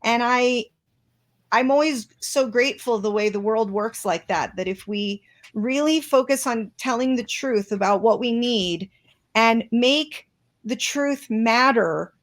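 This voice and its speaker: A woman's voice: fairly high pitch, a little slow. A woman guest in an interview, speaking earnestly and reflectively with many restarts. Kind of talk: interview conversation.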